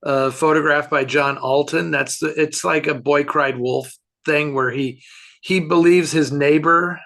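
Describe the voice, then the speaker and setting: A man chatting casually as a film expert answering questions, halting and thinking aloud as he offers his opinions. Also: fairly high pitch, steady tone, a bit bright.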